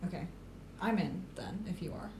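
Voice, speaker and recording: A woman playing a low-key, practical young superhero in an audio drama, with an understated delivery. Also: normal speed, darkish tone, a little hiss or hum, natural voice.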